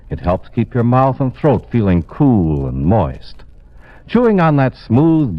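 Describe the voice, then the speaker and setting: An American man announcing a sponsor message in 1950s radio style. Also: medium pitch. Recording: dull old radio recording.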